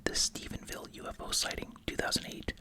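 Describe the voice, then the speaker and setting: An ASMR creator whispering breathily while chewing gum, reading out summaries and adding casual, musing asides. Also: fast. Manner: casual, musing, repeats short phrases.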